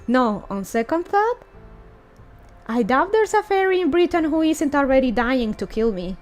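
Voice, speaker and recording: A wry fantasy game schemer read by a woman, blunt and conversational. The voice sits high. Faint music runs underneath. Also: very animated, normal speed, darkish tone. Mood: grim reasoning.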